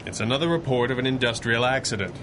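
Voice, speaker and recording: An actor as a composed, formal radio superhero. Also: male voice, mid-range voice, background noise, natural voice. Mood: grave, thoughtful. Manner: formal, measured, gently dry. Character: composed, earnest masked superhero boss, a man. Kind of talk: 1930s radio drama acting.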